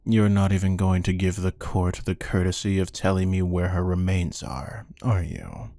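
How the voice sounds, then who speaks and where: A man playing a menacing, amused hired killer who taunts a captive in a deadpan, unhurried ASMR roleplay monologue. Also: low voice, creaky, no echo. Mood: wry, musing.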